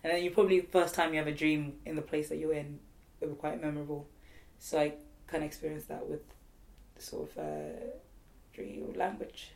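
A woman's voice: deep voice, animated, brisk, vocal fry, a little mellow, poor audio. A woman from Zimbabwe musing on a podcast, thoughtful and hesitant, with many fillers and restarts. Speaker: podcast co-host. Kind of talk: casual group chat.

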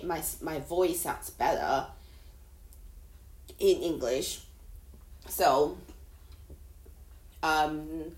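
Slow-talking woman in an echoey room. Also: fairly low pitch. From Taiwan. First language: Mandarin. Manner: rambling, chatty, halting second-language phrasing. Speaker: podcast host. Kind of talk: casual solo podcast monologue. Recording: roomy echo.

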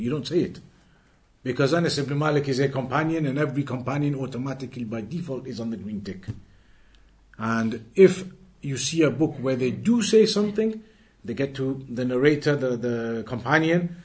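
Man teaching a religious lesson. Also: medium pitch. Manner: explains by rhetorical questions, switches into fluent quick Arabic recitation. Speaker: Islamic teacher. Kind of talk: classroom lesson explaining texts.

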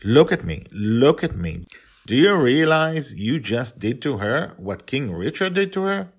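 A man's voice: medium pitch, big pitch swings, unhurried, husky, pitched above the performer's own voice, full character voice. A man playing an earnest father in a storybook tale, stern but loving toward his young daughter. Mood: angry, scolding. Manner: earnest, parental.

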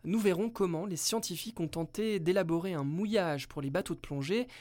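A woman reads a scripted news voice-over in a fairly low, measured voice. The sound is dry and close with no room echo. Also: a little mellow. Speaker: narrator. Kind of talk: scripted narration.

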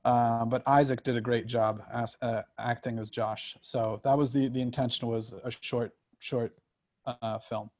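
Halting man, dry close sound. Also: mid-range voice, level tone, a little mellow, no room sound. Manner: halting, repeats words. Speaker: screenwriter. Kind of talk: casual discussion of own work.

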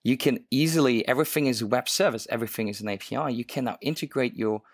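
A man's voice: medium pitch, fast talker, no room sound, studio quality. A man from Luxembourg co-hosting a casual tech podcast, talking with enthusiasm and lots of fillers and restarts. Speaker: co-host, developer advocate.

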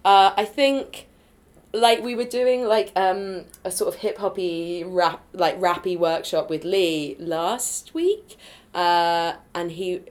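A woman with a nasal, projecting voice, chatting excitably as a podcast guest, full of fillers and quick laughs. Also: fairly low pitch. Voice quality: loud, projecting voice. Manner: bubbly and excitable, laughs often, many fillers. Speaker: podcast guest, improv performer. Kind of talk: casual podcast interview.

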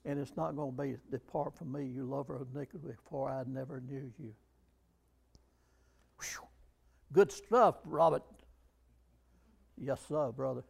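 A man's voice: mid-range voice, unhurried, gravelly, pauses often, darkish tone, breathy edge. A man preaching a sermon, emphatic and fond of rhetorical questions to the congregation.

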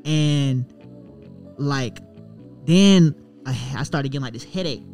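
A man's voice: fairly high pitch, takes it slowly, a little mellow, soft background music. A young man, a rapper, chatting casually and telling a long story in a loose, profane way. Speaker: rapper guest. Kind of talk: casual chat.

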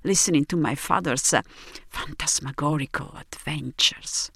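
A woman's voice: sits low, moderate pace, vocal fry. An Italian woman with an Italian accent, narrating in a reflective, poetic, scripted style. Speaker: narrator of an audio drama. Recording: music behind the voice. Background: music.

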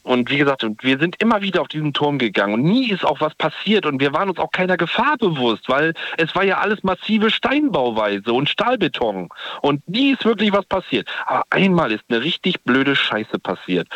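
A man's voice: medium pitch, fairly bright tone, dry recording. Caller, man from the Ruhr, rambling storyteller, dry phone sound. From Ruhr area, Germany. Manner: chatty, rambling, self-correcting. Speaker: radio caller. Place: parked car.

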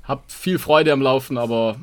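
A man's voice: mid-range voice, moderate pace. A man co-hosting a podcast in casual chat, often hesitating and rephrasing mid-sentence. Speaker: podcast co-host and hobby runner.

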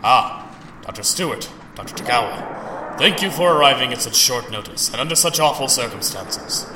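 A man's voice: medium pitch, lively intonation, normal speed, far from the mic, natural voice. A male army general played by an actor speaks with formal courtesy and command in a monster radio play. He sounds set back from the mic in an echoey, noisy space. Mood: formal, concerned. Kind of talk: audio drama acting.

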